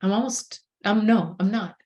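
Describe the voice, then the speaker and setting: A woman's voice: medium pitch, moderate pace, dark tone. A woman in her sixties from inner-city Boston, a guest on a podcast, talking in a thoughtful, searching way with restarts and light laughs. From inner-city Boston, USA. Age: 60s. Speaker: podcast guest, herbalist and plant spirit medicine practitioner. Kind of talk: casual reflective conversation.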